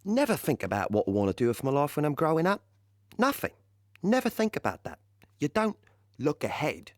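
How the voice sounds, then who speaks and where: Animated East London teenage soldier. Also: male voice, fairly high pitch, fairly quick, no room sound, studio quality. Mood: cheeky, animated. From Stratford, East London. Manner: colloquial, excitable storytelling. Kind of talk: audio play monologue, animated anecdote.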